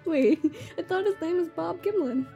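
A woman's voice: very high-pitched, warm tone, faint music behind. A woman co-hosting a podcast, bantering casually and laughing easily. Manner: giggly, quick to laugh and protest. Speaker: podcast co-host.

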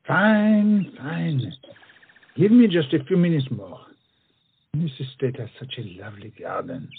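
A man acts a proud French swordsman and poet in a stage-style comedy. His delivery swings widely, with florid, eloquent phrasing and a husky edge. The recording is dull and lo-fi. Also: on the high side, sing-song, unhurried, exaggerated voice. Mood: proud, teasing. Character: proud, self-conscious, witty French swordsman-poet with a large nose. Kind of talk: theatrical comedy audio-play acting.

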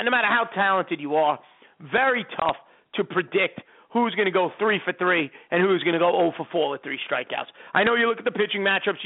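A man in his thirties hosting a fantasy sports radio show, rambling and repeating words as he talks. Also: sits high. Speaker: host. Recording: tinny phone-like sound.

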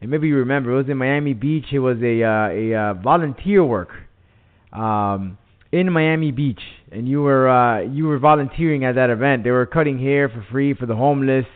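A man hosting a radio show, talking quickly with many restarts and short backchannels in a casual interview. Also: mid-range voice. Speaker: radio host. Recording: narrow lo-fi broadcast audio.

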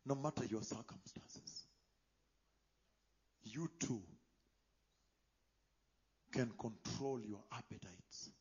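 A man preaching a sermon, emphatic, moving between loud declarations and hushed whispers. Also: mid-range voice, breathy edge. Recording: dull lo-fi sound.

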